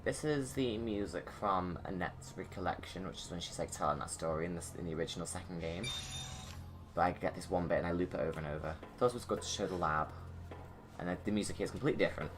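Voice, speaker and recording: A man's voice: sits low, fast. A man giving casual, rambling, enthusiastic running commentary as the creator of a film. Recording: loud film music behind. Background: game film soundtrack.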